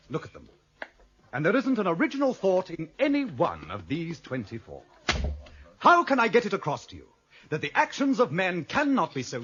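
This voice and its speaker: A man's voice: fairly high pitch, a bit bright, husky, heavily acted. An actor playing an exasperated, sardonic male university lecturer in a radio drama, theatrical and emphatic. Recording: dull, muffled old radio drama recording.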